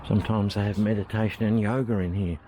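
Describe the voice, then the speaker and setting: A man's voice: fairly low pitch, steady tone, fast talker, background noise. An Australian man chatting casually to camera while walking around a historic village, thinking aloud as he goes. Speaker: travel vlogger. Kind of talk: casual walking tour commentary.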